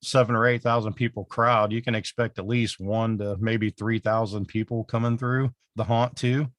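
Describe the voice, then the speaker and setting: A man co-hosting a podcast in casual chat, with a raspy voice, sounding worn out and rambling with lots of fillers. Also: lowish voice, steady tone, moderate pace, fairly bright tone, no echo, clean studio sound. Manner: rambling, full of "you know" fillers. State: exhausted, worn out. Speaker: podcast co-host.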